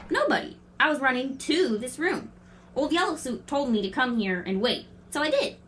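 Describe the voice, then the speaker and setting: A woman playing a brisk, confident, quirky girl in a family audio drama, breezy and matter-of-fact. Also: high-pitched, very animated, fairly bright tone, slight background noise. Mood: breezy, chatty.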